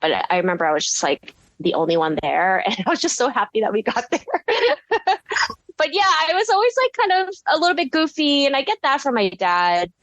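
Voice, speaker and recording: A woman's voice: high-pitched, sing-song, a little fast, a bit bright, no room sound, dull audio. Guest ceramicist, woman in her thirties, chatty and giggly, recorded dry on a close mic. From United States. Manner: rambling, filler-heavy, giggly. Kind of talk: casual interview.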